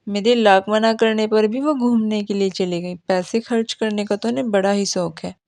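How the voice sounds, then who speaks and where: A woman's voice: medium pitch, steady tone, moderate pace, darkish tone, dry recording, close to an everyday voice. A woman voicing cartoon dialogue as a fretful mother and her headstrong young daughters. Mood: fretful, scolding.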